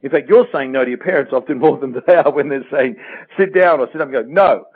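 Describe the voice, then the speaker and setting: A man's voice: mid-range voice, fast, slightly rough. A man with an Australian accent speaking as a guest life coach in a motivational interview, earnest and emphatic, repeating phrases for effect. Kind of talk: motivational interview talk with personal anecdotes. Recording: thin, phone-like radio sound.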